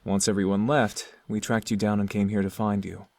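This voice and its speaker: An actor playing a gentle young male incubus prince, speaking calmly and affectionately to his fiancée. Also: on the low side, a little fast, no room sound, close to an everyday voice. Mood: explaining. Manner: calm, soft-spoken and affectionate. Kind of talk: visual novel voice acting.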